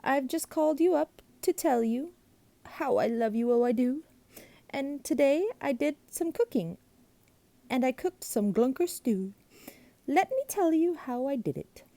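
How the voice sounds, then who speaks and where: A woman's voice: sits high, lively intonation, a little slow, warm tone, dry recording, voice pushed up. A woman voicing a greenish male creature from a storybook, a pushy chatterbox who talks on and on in a bossy singsong. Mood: jabbering.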